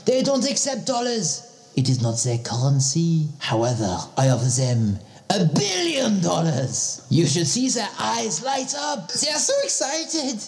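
A man's voice: a little mellow, heavily acted. A man playing a hot-headed, proud male French diplomat with a put-on French accent and a theatrical, indignant manner. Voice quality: theatrical, sweeping pitch. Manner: indignant, grandiose. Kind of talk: comedy sketch acting.

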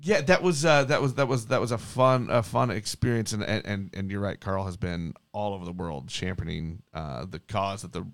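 A man hosting a local podcast, chatty and lively, often repeating words as he talks. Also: on the low side, normal speed, hardly any pauses. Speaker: podcast host. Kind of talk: casual podcast chat with ad read.

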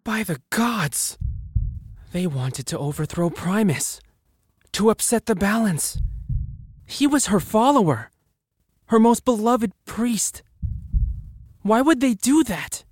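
A man playing a curious, bookish scholar who mutters to himself with expressive reactions in an audio drama. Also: high voice, slow, pauses often, slightly rough, no echo, studio quality, full character voice. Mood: alarmed.

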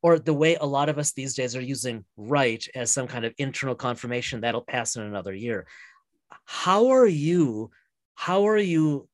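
A man's voice: medium pitch, expressive, moderate pace, no room sound. A man in his forties hosting a podcast, chatty and joking while asking long questions. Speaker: podcast host. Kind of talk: casual podcast interview.

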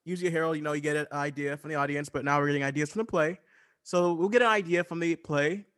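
A man with a fairly high voice talks casually. He explains in a loose, rambling way, stopping and restarting his own thoughts. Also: fairly even intonation, fast, fairly bright tone. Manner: rambling, self-interrupting, loose. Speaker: improv comedian on a podcast.

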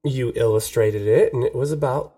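A man's voice: mid-range voice, moderate pace, dark tone. A man co-hosting a podcast, chatting casually, laughing often and swearing freely. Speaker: podcast co-host. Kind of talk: casual radio-style chat.

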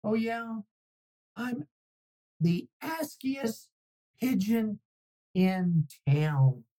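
A man voicing an indignant, dramatic pigeon in a storybook read-aloud, pleading and complaining in a theatrical, drawn-out way. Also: high-pitched, animated, dark tone, exaggerated voice. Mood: pleading, boastful.

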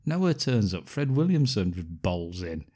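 A man's voice: low-pitched, big pitch swings, unhurried, warm tone, breathy edge. A man co-hosting a film podcast, recapping the plot with wry, sarcastic asides. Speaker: podcast co-host. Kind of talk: casual film review chat.

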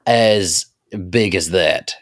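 A man's voice: sits low, extremely slow, dry recording, studio quality. A man co-hosting a podcast, bantering casually in a playful, wry manner. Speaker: podcast host.

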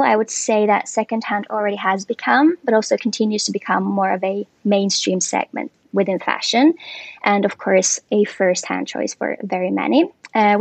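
Gravelly-voiced woman guest. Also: mid-range voice, no room sound. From Finland. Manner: relaxed, explanatory, with frequent fillers. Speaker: guest, commercial lead. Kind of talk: podcast interview.

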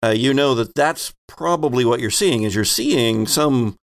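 A man's voice: mid-range voice, unhurried, dry recording. A man acting as game master, casually explaining things to his players with dry, wry asides.

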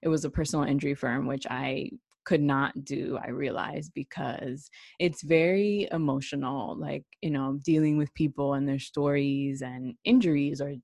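A woman's voice: rumbling low, fairly even intonation, moderate pace, creaky, darkish tone, no echo. A young woman, an attorney raised in New York, telling her life story casually in a podcast interview, with many fillers and frequent laughs. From born in Puerto Rico, raised in the Bronx, New York.

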